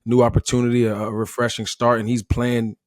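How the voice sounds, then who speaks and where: A man's voice: medium pitch, little pitch movement, fairly quick, no room sound. Emphatic American man, dry close sound. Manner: emphatic, often checks with "right?". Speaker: former player turned football analyst. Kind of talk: unscripted football analysis.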